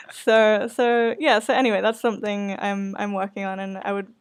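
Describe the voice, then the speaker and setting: Earnest woman with a creaky voice. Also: medium pitch, measured pace. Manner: hesitant, earnest, self-conscious. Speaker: podcast host and writer. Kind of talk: casual chat.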